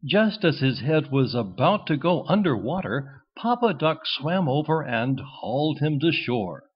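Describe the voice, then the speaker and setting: A man reading a children's storybook as narrator in an expressive, scripted style. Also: a little high, unhurried, husky, lo-fi sound.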